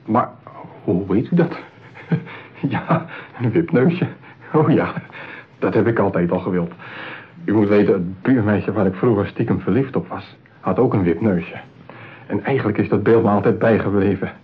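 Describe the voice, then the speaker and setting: Timid, husky, lonely customer. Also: male voice, fairly low pitch. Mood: shyly excited. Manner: hesitant, bashful, halting. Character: shy, lonely, short male customer, timid and wistful. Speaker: actor in a radio play. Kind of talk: radio drama acting. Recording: tinny, narrow-band old radio play.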